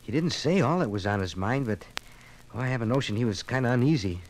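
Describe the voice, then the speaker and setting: A male actor plays a concerned small-town fellow in a 1950s radio docudrama. He chats in a folksy way, quickly, in an ordinary male register. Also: medium pitch, lively intonation, a little hiss or hum, close to an everyday voice. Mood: mild unease.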